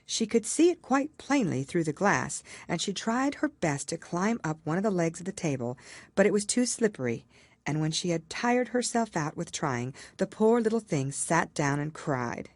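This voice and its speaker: A woman reading a storybook aloud as scripted narration, in an expressive, measured storytelling manner. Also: on the low side, dry recording. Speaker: audiobook reader.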